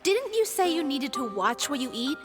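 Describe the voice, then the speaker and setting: A woman's voice: high voice, big pitch swings, moderate pace. A woman voicing a fussy, caring young actress with a nagging, emphatic manner in an anime game. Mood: scolding, worried. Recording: music behind the voice. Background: game music.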